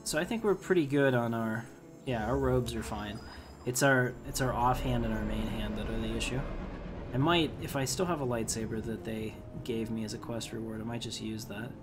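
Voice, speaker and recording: A man's voice: mid-range voice, moderate pace, over music. A man giving casual, chatty gameplay commentary, thinking aloud to his viewers as he plays. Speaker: gamer hosting a playthrough.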